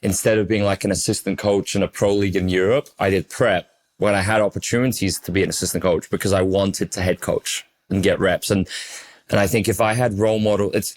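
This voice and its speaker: A young English man, a basketball coach, talking in a casual interview, emphatic and fluent, repeating words for stress. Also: fairly low pitch. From England.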